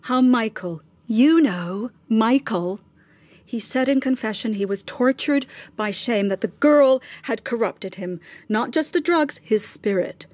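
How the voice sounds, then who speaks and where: A woman's voice: a little high, animated, a little slow, darkish tone, dry recording. An actress playing a middle-aged mother in a radio drama, ruthless and scheming in her son's defence, with insistent, scornful, clipped questions. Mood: conspiratorial.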